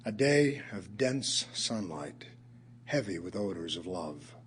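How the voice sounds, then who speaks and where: An actor playing an ailing middle-aged writer, reciting his poems reflectively in an audio theatre piece, with a husky voice and emphatic pauses. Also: sits low, expressive, slight background noise. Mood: tender, sensual. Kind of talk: dramatic audio theatre poetry reading.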